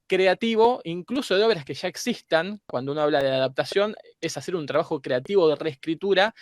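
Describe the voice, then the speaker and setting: A man with an Argentine accent speaks in a slightly high voice, recorded dry and close. He explains like a teacher on a panel, pausing and repeating small words as he searches for the right one. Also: animated, no echo. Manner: didactic, hesitant word-searching. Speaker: guest academic.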